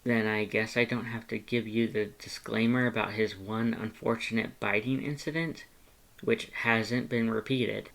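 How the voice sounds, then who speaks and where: A man voicing a gentle, tentative animal shelter worker in a story, speaking softly and politely with some hesitation. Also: on the low side, fairly even intonation, measured pace, gravelly. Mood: cautious. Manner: soft-spoken, hesitant, polite.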